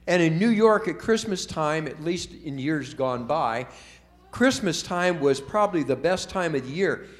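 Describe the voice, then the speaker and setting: A man's voice: a little high, animated, measured pace. Folksy older man telling kids a story. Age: 70s. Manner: folksy, asks the children questions. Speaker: storyteller for children. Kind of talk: casual children's storytime. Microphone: told from memory without notes.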